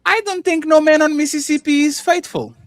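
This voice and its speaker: A man with a Russian accent hosting a casual panel, joking and teasing the guests with quick interjections. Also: very high-pitched, steady tone, no echo.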